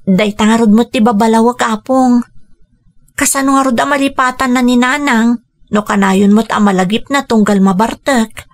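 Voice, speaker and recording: A woman's voice: fairly high pitch, normal speed, natural voice. A woman with an Ilocano accent playing a humble, devoted young grandson in a radio drama, speaking earnestly and respectfully. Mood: concerned.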